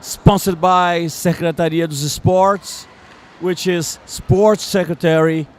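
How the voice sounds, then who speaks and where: A man, giving brief relaxed remarks as co-commentator. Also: high-pitched, slow pace, a little hiss or hum. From Brazil.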